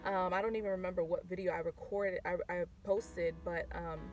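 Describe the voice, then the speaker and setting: A woman's voice: on the low side, steady tone, brisk, faint music behind. A young woman born in Haiti and raised in Florida, telling her personal faith story in a casual, candid vlog, with many fillers and restarts. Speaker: vlogger.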